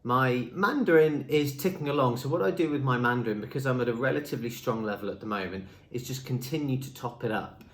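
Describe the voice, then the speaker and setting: A man's voice: mid-range voice, fast talker, few pauses, a bit bright, reverberant. A young man and native English speaker, chatting in an upbeat way as he presents a talking-head vlog. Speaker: language school marketing presenter. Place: indoor room with a screen behind him.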